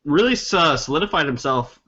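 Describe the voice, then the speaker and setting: A young man co-hosting a casual sports podcast, easygoing and quick to laugh. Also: on the high side, big pitch swings, sharp tone. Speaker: podcast co-host. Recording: dull lo-fi sound.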